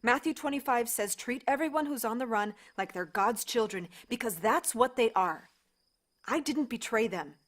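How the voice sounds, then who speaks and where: Casting: steadfast woman protector of runaways, fairly high voice, measured and sincere, audio drama. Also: expressive. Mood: firm, sincere. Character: steadfast, principled woman who shelters people on the run. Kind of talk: naturalistic audio drama dialogue.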